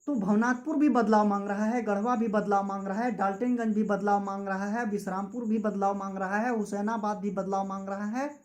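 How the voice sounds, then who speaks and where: A man's voice: sits high, steady tone, brisk. A young man with a Jharkhand Hindi accent giving an impassioned political speech as a student activist, with repetitive rhetorical phrasing. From Jharkhand, India. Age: young adult.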